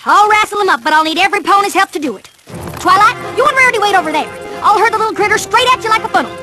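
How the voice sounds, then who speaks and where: A woman's voice: very high voice, lively intonation, very fast. Folksy Southern cowgirl cartoon pony. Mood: commanding. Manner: folksy, commanding. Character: sturdy young cowgirl farm pony, brisk and confident. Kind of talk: animated cartoon acting. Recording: loud music behind the voice.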